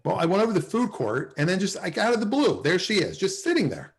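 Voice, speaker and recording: A man acting a flustered, smitten office salesman in a sitcom table read, with a halting, excitable delivery. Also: high voice, vocal fry, heavily acted. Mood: elated. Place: video call at a table read.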